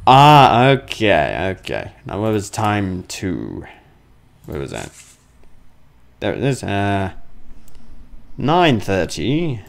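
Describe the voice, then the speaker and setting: Animated guy commentating a game, creaky voice. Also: on the low side, takes it slowly, long pauses. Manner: goofy, talks to himself and to game objects. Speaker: gamer commentating a horror game. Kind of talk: casual let's play commentary.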